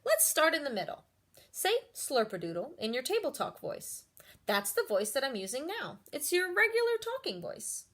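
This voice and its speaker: Woman playing a patient, bright-voiced schoolteacher. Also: high-pitched, wildly swooping pitch, pitched above the performer's own voice, exaggerated voice. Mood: explaining. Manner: instructive, measured, encouraging. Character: kind, patient female schoolteacher coaching a pupil.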